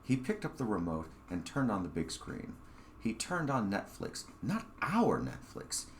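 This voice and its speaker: A man reading his own short story aloud as a first-person narrator, with halting phrasing and dramatic pauses. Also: lowish voice, wildly swooping pitch, a little hiss or hum.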